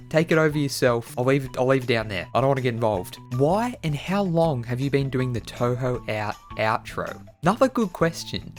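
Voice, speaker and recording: Young man, Australian accent, average pitch, casual YouTuber Q&A, playful and informal, faint background music. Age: early 20s. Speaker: YouTuber answering viewer questions. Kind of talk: casual Q&A video chat.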